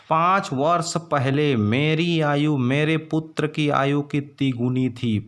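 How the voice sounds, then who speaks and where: A man's voice: fairly high pitch, fairly bright tone. A man teaching school maths step by step, repeating phrases and asking questions he then answers himself. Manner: repeats phrases for emphasis, instructional, asks and answers own questions. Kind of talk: step-by-step exam lesson for school students.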